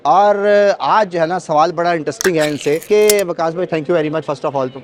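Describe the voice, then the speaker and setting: A man's voice: on the high side, normal speed. A man hosting a casual interview, agreeable and mixing English phrases into his questions.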